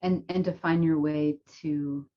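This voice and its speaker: Low-voiced woman, halting, slightly muffled. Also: fairly even intonation, a little hiss or hum. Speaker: poet on a panel. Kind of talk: casual, reflective panel discussion. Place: remote video call. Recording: dull, lo-fi video call audio.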